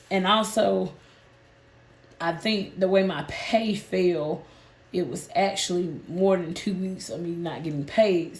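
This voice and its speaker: Woman, 30s, hoarse nasal voice, low-pitched, casual vlog chat, echoey room. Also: a little mellow. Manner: chatty, addresses viewers as "y'all". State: hoarse and nasal from a sinus illness. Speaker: budgeting channel host.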